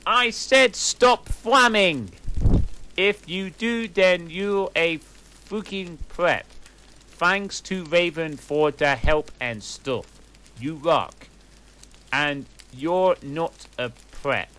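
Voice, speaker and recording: Dude reading a cringey story out loud, slow and sarcastic, mock dramatic. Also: male voice, a little high. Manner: mock-dramatic, sarcastic asides, reads typos literally. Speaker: reader of a fan story. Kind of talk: comic dramatic reading aloud.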